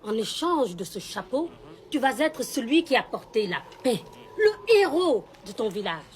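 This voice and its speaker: A woman playing a cunning, imperious sorceress in an animated film, sly and commanding as she bargains. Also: fairly high pitch, normal speed, a little hiss or hum. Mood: scheming.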